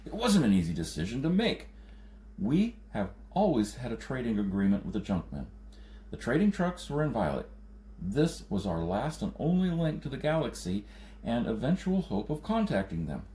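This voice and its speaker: A man's voice: mid-range voice, wildly swooping pitch, normal speed, mellow, echoey room. A man voicing a grave, blunt, determined outlander leader with an intent delivery in a science fiction audiobook. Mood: grave, earnest.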